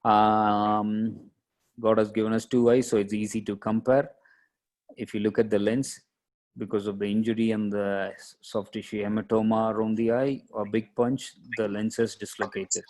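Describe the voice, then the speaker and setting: Guy with a lowish voice teaching radiology, explains stuff bit by bit with halting pauses. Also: flat delivery, darkish tone. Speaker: radiologist lecturer. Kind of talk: medical lecture, explaining case images.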